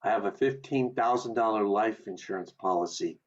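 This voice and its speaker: A man's voice: fairly low pitch, brisk, close to an everyday voice. A man playing a desperate, agitated family man in a radio play. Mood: desperate, pleading. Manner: agitated, pleading. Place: home recording over video call. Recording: dull lo-fi video call audio.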